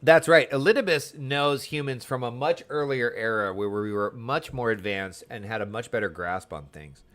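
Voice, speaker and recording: A man's voice: medium pitch, moderate pace, fairly bright tone. A man chatting casually on a livestream, commenting on the game he plays and talking to his viewers. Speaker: livestreamer playing an online game.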